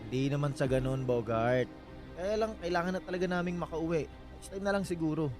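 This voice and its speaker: An actor playing a confident, protective newlywed husband in a radio drama, soothing yet insistent. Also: male voice, fairly high pitch, a little fast, music in the background, lightly acted. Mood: placating.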